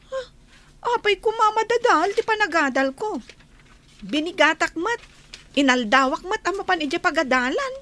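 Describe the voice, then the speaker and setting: A woman's voice: very high-pitched, expressive, normal speed, sharp tone, exaggerated voice. A woman with an Ilocano Filipino accent acting a giddy, lovestruck, defiant schoolgirl daughter in a radio comedy drama. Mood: sassy, defiant. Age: young adult.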